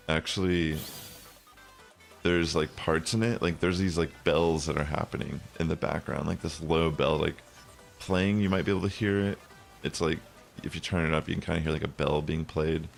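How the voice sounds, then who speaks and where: Young man, deep bass voice, streamer, rambly, faint background music. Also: steady tone, moderate pace, gravelly. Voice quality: very low bassy voice. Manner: rambling, many fillers. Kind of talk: casual chat while gaming.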